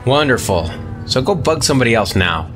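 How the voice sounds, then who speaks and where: A man playing a gruff, impatient starship engineering chief, curt and sarcastic, in a sci-fi audio drama. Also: mid-range voice, very animated, a little fast, fairly bright tone, faint music behind. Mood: irritated.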